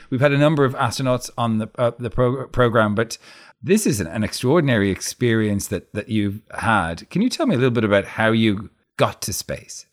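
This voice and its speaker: This is a man with a fairly low voice, hosting a radio interview. He asks his questions in a relaxed, conversational way. He thinks aloud as he frames each one. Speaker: radio host.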